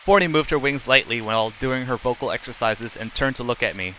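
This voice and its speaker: A man's voice: medium pitch, brisk, background noise. A middle-aged man live streaming, reading game text aloud in a plain, unacted way with casual asides and occasional stumbles.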